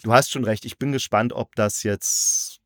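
A man's voice: mid-range voice, steady tone, moderate pace, dry recording, studio quality. A man hosting a podcast, chatting casually through a review in an informal, colloquial way.

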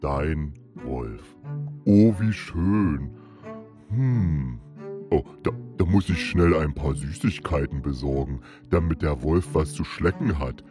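Very deep, slow bear. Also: male voice, over music. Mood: pleased, eager. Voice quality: very deep, rumbling. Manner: slow, ponderous, hesitant. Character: big good-natured slow bear with a sweet tooth.

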